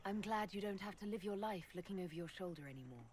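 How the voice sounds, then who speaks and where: An actress playing an authoritative female leader in a video game, speaking calmly and in a measured way. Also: mid-range voice, a little hiss or hum, close to an everyday voice. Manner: calm, measured.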